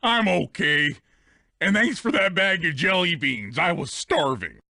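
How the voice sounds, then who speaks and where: An actor playing a big, good-natured, simple hippo sidekick in a video game, with a boyish, friendly manner. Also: male voice, fairly high pitch, lively intonation, measured pace, creaky, pushed and bright, dry recording, studio quality, full character voice.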